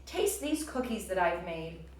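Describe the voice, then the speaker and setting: A woman voicing a male storybook toad who is excitable, plaintive and easily made gloomy. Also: on the low side, measured pace. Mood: excited. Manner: exclaiming, plaintive. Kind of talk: children's storybook read-aloud. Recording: roomy, distant, faint background noise.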